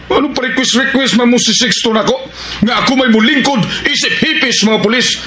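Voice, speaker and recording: A man's voice: very high-pitched, fairly quick, echoey room, a loud crowd. A man acting a hot-tempered, resentful criminal in a Filipino radio action drama, speaking Cebuano-accented lines with taunting indignation. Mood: angry, taunting.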